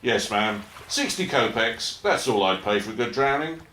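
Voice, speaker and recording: An actor playing a matter-of-fact dockside police officer, deadpan and folksy, in an amateur radio play. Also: male voice, medium pitch, normal speed, echoey room, a little hiss or hum. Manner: deadpan, bargain-minded, folksy.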